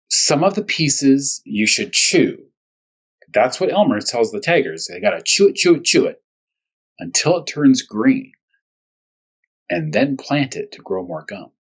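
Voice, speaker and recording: A man speaking as a first grade teacher at storytime, talking to young children in simple, short, repeated phrases, with a husky voice. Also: medium pitch, low-quality recording. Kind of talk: storytime read-aloud and discussion for young children.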